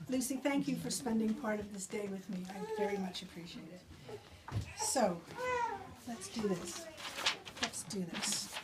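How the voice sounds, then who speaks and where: A woman's voice: mid-range voice, expressive, unhurried, pauses often. A woman poet speaking and reading her own work live to a small gathering of listeners. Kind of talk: live poetry reading with informal remarks to audience. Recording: distant mic with background noise.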